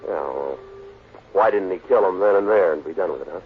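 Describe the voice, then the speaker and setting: An American actor playing a blunt, needling, self-satisfied police lieutenant in a 1940s radio drama. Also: male voice, low-pitched, fairly quick, natural voice. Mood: questioning. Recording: tinny, noisy 1940s radio recording.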